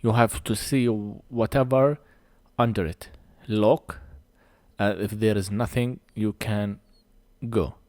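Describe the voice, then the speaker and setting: A man who speaks English as a second language gives a hands-on repair tutorial in halting short phrases, a little short of breath. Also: on the low side, fairly even intonation, a little mellow, no echo. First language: not English. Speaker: phone repair technician presenting a tutorial.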